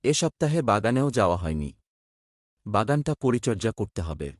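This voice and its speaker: A man's voice: on the low side, sing-song, moderate pace, no echo, clean studio sound. A man playing an honest, humble, hard-working village farmer in a cartoon, earnest and plain-spoken.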